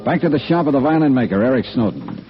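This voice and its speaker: An actor playing a blunt, wry, hard-boiled insurance investigator in a vintage radio noir drama. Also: male voice, mid-range voice, lively intonation, quick pace, music in the background, close to an everyday voice. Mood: brisk narrating. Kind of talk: 1950s radio noir acting. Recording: dull vintage radio recording.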